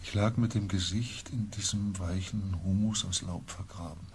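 An actor playing a grave, haunted expedition member in a science fiction radio drama, speaking in slow, halting phrases. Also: male voice, low voice, fairly even intonation, a little mellow, slight background noise.

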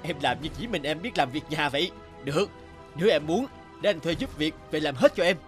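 A man's voice: fairly high pitch, lively intonation, a little fast, faint music behind, close to an everyday voice. A man voicing a disgruntled, condescending young husband and breadwinner in a cartoon. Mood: scornful, annoyed. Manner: grumbling, condescending. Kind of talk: animated moral-tale voice acting.

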